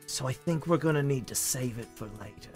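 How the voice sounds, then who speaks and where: A man playing a flippant, confused pirate-film character in an improvised comedy fandub, halting with dry asides. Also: mid-range voice, a little mellow, soft background music, close to an everyday voice. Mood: confused, wry.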